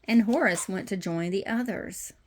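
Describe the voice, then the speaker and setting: A woman reading a children's picture book aloud as a storytime host, with expressive storytelling. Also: medium pitch, moderate pace. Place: messy playroom at home. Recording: noisy home room with clicks.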